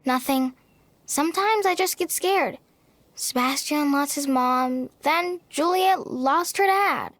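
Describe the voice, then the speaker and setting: A woman's voice: high voice, slow pace, fairly bright tone, no echo. A woman voicing an anxious, earnest young girl in a video game, confiding her worries. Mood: worried.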